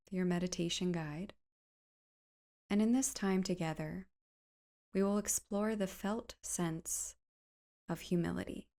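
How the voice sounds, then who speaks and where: A woman guides a meditation in a fairly low, soft voice with a creaky edge. She speaks very slowly and gently, leaving space between phrases and letting their ends fade into breathiness. The delivery is soothing and unhurried.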